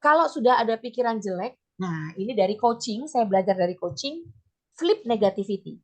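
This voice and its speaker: A middle-aged woman with a slightly hoarse voice, giving an informal teachers' workshop talk in a chatty, step-by-step way sprinkled with English terms. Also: fairly high pitch, expressive, a little fast, a little mellow. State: slightly hoarse, voice not back to normal. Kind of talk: informal training talk with personal examples.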